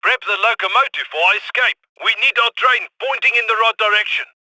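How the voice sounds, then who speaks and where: A man's voice: very high-pitched, a little fast, sharp tone, no room sound, exaggerated voice, low-quality recording. A man playing an upbeat, wry heist planner who guides his crew over a radio link in a video game. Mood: upbeat urging. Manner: encouraging, wry, addresses crew as friends. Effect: radio comms filter. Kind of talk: video game voice acting, briefing.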